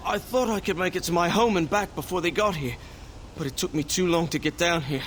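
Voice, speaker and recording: A man's voice: sits high, fairly quick, a bit bright, a little hiss or hum. An actor playing a frightened, relieved townsman in a video game, speaking anxiously and gratefully. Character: relieved frightened male townsman fleeing monsters. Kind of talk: scripted game dialogue.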